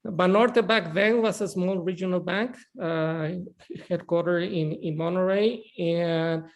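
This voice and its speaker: Man, halting video-call interview. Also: sits high, steady tone. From northern Mexico. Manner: halting, many restarts and repeated words. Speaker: bank CEO, guest.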